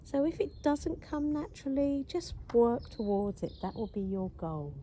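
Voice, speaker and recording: A woman leading a guided breathing practice, counting the breaths calmly and steadily. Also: fairly high pitch, a little hiss or hum. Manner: calm, slow, counting steadily. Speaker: breathing instructor.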